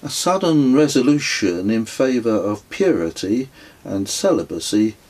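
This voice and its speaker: A man reading his own memoir as an audiobook, telling it in a measured, wry storytelling way. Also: on the low side, sing-song, darkish tone, poor audio. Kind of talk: scripted audiobook narration.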